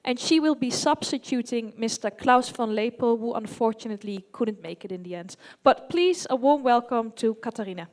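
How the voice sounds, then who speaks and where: A woman's voice: fairly high pitch, moderate pace, few pauses, rough recording. A woman speaking formally as a conference moderator, introducing sessions and speakers to an audience.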